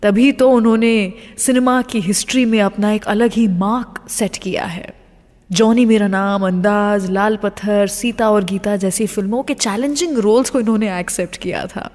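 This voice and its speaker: A woman's voice: medium pitch, normal speed, a little mellow. A woman hosting a scripted radio show, speaking straight to her listeners and dropping English phrases into her talk. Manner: addresses listeners directly, teasing what comes next; mixes English phrases into speech. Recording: long reverb on the voice.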